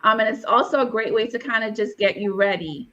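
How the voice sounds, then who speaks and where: Woman host, slightly high voice, upbeat, online event, lo-fi muffled video call audio. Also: fairly quick, bright, forceful tone. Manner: upbeat, reads viewer comments aloud. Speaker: host of awards meet and greet. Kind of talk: virtual event hosting. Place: Atlanta.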